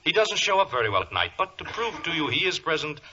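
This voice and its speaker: An actor playing a suave, gloating criminal mastermind with mock-courteous menace, in the theatrical style of 1940s radio drama. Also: male voice, a little high, very animated, quick pace, sharp tone, full character voice. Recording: noisy, narrow old radio recording.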